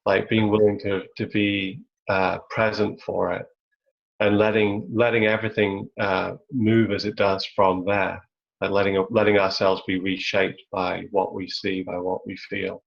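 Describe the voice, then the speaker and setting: Male spiritual teacher, low gravelly voice, halting reflective delivery, dull web-call audio. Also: level tone. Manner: searching, halting, many "like"s. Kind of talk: reflective satsang talk. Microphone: video call.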